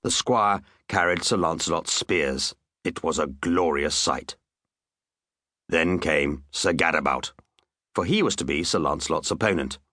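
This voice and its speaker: A man's voice: extremely low pitch, unhurried, pushed and bright, no room sound. A man narrating a comic children's story with dry, wry asides. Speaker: audiobook narrator.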